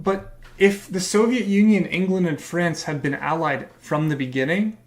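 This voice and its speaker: Brief: hoarse male streamer, combative, profane, emphatic rants, slightly higher voice. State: losing his voice. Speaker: livestreamer reacting to a video. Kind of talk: heated live reaction commentary.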